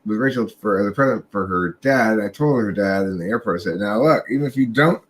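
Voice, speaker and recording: A man's voice: lowish voice, brisk. A young man hosting a livestream chat, telling a rambling, profane story and laughing along the way. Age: young adult. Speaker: podcast host.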